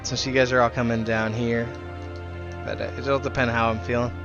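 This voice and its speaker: A man's voice: mid-range voice, flat delivery, normal speed, dull audio, music in the background. A man streaming a game live, chatting casually in a friendly, rambling way. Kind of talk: casual chat while streaming.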